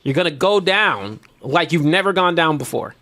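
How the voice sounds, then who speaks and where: A man's voice: fairly high pitch, animated, normal speed, pushed and bright. A young man co-hosting a podcast, talking emphatically, swearing, and breaking into laughter. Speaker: podcast co-host. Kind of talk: casual chat.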